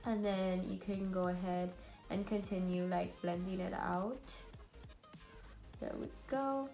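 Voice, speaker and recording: A young woman with a raspy, congested voice from a cold, chatting bubbly through a makeup tutorial at home. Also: fairly low pitch, steady tone, takes it slowly, warm tone, soft background music. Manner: chatty and bubbly, many fillers. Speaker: beauty vlogger. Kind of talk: casual makeup tutorial chat.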